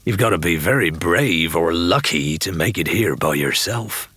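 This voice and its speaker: A man's voice: low voice, lively intonation, normal speed, fairly bright tone, husky, no echo, exaggerated voice. A man voicing a hearty, burly tavern barkeeper. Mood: agreeable, curious. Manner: hearty, folksy rustic grammar. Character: burly, jovial, whiskered male tavern barkeeper pirate. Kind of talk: audiobook character voice.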